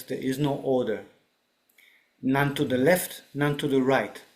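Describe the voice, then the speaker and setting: A man talking through spiritual ideas on a podcast, reflective and explanatory, often checking in with "right?". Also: on the high side. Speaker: podcast guest. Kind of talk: spiritual discussion.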